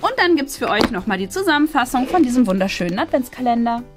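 A woman's voice: on the high side, sing-song, quick pace, music in the background. A woman in her thirties chatting casually and enthusiastically to viewers as she hosts an unboxing. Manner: gushing, enthusiastic. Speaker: host unboxing an advent calendar. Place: small furnished indoor studio.

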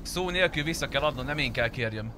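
Excitable man gaming over loud engine noise. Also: medium pitch. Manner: crude, swearing, excitable. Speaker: player in an online game roleplay. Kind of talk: casual in-game team chatter.

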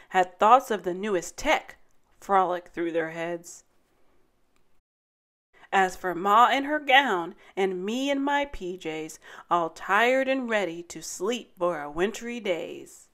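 A woman hosting story time, reading a rhyming children's picture book aloud in a dramatic, deliberate way. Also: medium pitch, lively intonation, fairly bright tone. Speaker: story time host and narrator.

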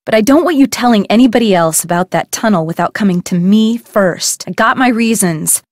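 A woman's voice: mid-range voice, fairly quick, no room sound, studio quality, lightly acted. An actress playing a friendly young woman who runs a harbor shop in a video game, talking in a breezy way. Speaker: game character.

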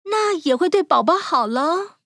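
A woman as a high-pitched, girlish young wife. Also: animated, moderate pace, a bit bright, dry recording, clean studio sound, heavily acted. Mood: friendly, eager. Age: young adult. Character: naive young pregnant wife, craving and eager. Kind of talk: children's fairy-tale cartoon voice acting.

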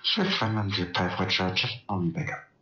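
A man's voice: sits low, big pitch swings, moderate pace, reverberant, highs cut off, over music. A man working as a virtual air traffic controller, speaking courteous, procedural radio phraseology over an online voice link.

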